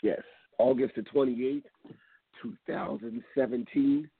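Hoarse man hosting over a phone line. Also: mid-range voice, unhurried. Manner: rambling, loose, with many ums. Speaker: radio show host. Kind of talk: casual call-in radio talk.

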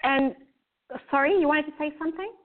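A woman's voice: sits high, brisk. Kenyan-born woman host on a tinny call. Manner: hesitant, many fillers and restarts. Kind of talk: casual interview over a call. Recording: tinny phone-like call audio.

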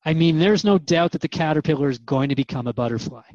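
A man's voice: a little high, quick pace, darkish tone, no room sound. Dry, slightly muffled male radio host. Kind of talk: hosted radio interview program.

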